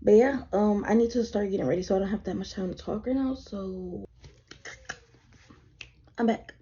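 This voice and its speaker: Woman, twenty-one, tired, very dark mellow tone, husky, rambling casual chat. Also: normal speed, lo-fi sound. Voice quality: a little deeper than usual from tiredness. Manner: rambling, easily sidetracked, swears casually. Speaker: vlogger. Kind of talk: casual get-ready-with-me chat. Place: at home at her desk.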